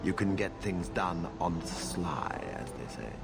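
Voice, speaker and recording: An actor playing a stern, pompous old magistrate who gives orders in a fantasy video game. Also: male voice, deep voice, expressive, unhurried, vocal fry, over music, full character voice. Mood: imperious. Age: older. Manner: pompous, commanding. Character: haughty old male city magistrate, stern and imperious. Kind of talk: dramatic video game acting.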